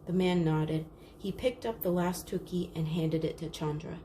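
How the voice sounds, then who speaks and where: A woman reading a children's story aloud in a measured, expressive storytelling manner. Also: fairly low pitch. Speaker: storybook reader. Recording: clearly noisy background.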